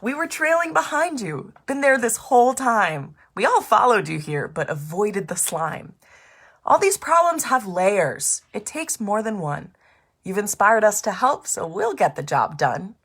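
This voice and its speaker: A woman's voice: medium pitch, very animated, a little slow, fairly bright tone, slightly rough, heavily acted. A woman voicing loyal storybook friends of a young heroine, earnest and encouraging, in sing-song rhyme.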